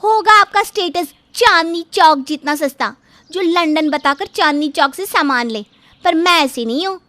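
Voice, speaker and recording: A woman acts out a young daughter-in-law from an animated household tale. Her voice is very high and forcefully bright, recorded close and dry. She is whiny and argumentative, full of exaggerated indignation. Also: moderate pace, no room sound, heavily acted. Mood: indignant. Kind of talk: animated Hindi moral story dubbing.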